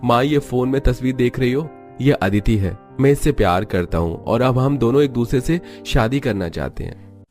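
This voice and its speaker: A man's voice: medium pitch, moderate pace, a little mellow, lightly acted. A man voices a devoted young cartoon son in love. He is earnest and reassuring, in a mid-range voice laid over music.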